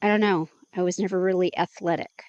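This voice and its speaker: A woman's voice: on the low side, steady tone, fairly quick, a little mellow, no echo, muffled recording, natural voice. An actress playing a jittery young woman vampire, anxious and exclamatory in an audio drama. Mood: earnest.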